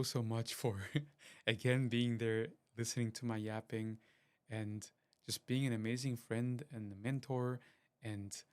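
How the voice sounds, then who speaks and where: A man hosts a podcast, talking in a rambling, chatty way full of fillers and restarts. His voice sits at an ordinary middle pitch. Faint music plays quietly under his voice. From Switzerland. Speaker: podcast host. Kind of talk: casual solo podcast intro.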